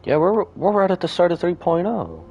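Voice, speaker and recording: A man's voice: high-pitched, huge pitch swings, moderate pace, darkish tone, highs cut off, slight background noise. A man casually reacting on a live game stream, playful and joking.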